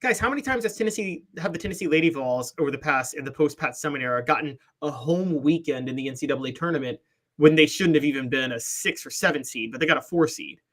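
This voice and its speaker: A man's voice: fairly high pitch, fast, fairly bright tone. A man in his thirties co-hosting a sports talk show, opinionated and analytical, explaining his points at length. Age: 30s.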